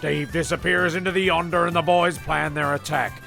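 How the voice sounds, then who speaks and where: Very gravelly rambling male narrator over music. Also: a little high, fairly even intonation, pushed and bright. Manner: halting, repeats phrases. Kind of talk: rambling comic narration in amateur audio drama.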